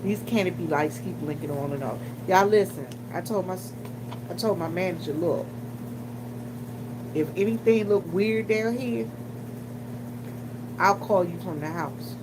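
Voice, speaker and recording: A woman from Washington, D.C. talking casually and colloquially to viewers, telling stories in an animated way. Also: on the low side, measured pace, pauses often. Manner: animated, colloquial, acts out other people's remarks. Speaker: vlogger and gas station worker. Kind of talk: casual chatty storytelling to viewers. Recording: noisy room with loud hum. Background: loud humming background noise.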